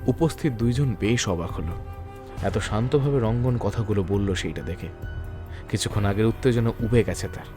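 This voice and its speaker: Low-voiced man narrating a suspense story. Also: normal speed, over music. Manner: literary, dramatic storytelling.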